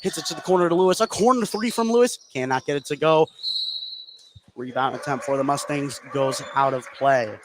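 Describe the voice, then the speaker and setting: A man's voice: on the high side, brisk, background noise. A man doing live play-by-play basketball commentary for radio, lifting his voice as the action builds. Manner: rises in excitement on shots and steals.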